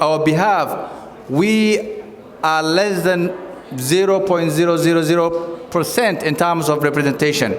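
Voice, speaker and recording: A man from Somalia, giving a campaign speech to a crowd and putting questions to the audience. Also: a little high. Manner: addresses the audience directly, asks for raised hands. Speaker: former candidate speaking at a campaign event. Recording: echoey hall, long reverb.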